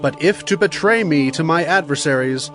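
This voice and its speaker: A man's voice: on the high side, moderate pace, a bit bright, close to an everyday voice. A man playing an earnest, commanding warrior king who speaks with solemn deliberation in a dramatized scripture reading. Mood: solemn, guarded. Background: music.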